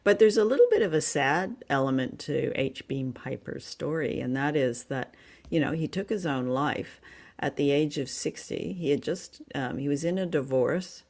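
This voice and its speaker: A woman co-hosting a podcast, telling things informally in a halting, conversational way. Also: deep voice, animated, a little mellow. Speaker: podcast co-host. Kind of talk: casual chat, informal storytelling.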